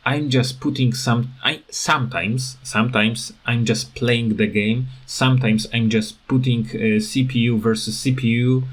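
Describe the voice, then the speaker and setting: A man who speaks English as a second language, with a foreign accent, giving rambling, halting, unscripted commentary as a gaming video creator. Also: mid-range voice, fairly even intonation. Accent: non-native English. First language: not English. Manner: hesitant, halting, repeats words.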